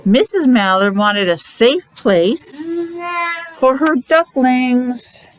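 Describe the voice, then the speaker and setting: A woman's voice: fairly high pitch, lively intonation, extremely slow. A woman teacher reading a picture book aloud to young children, explaining it simply in short, repetitive asides. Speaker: preschool or special-needs teacher.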